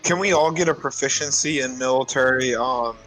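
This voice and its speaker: A man's voice: mid-range voice, a little fast, music in the background, poor audio. A man chatting casually as a player in a tabletop roleplaying game.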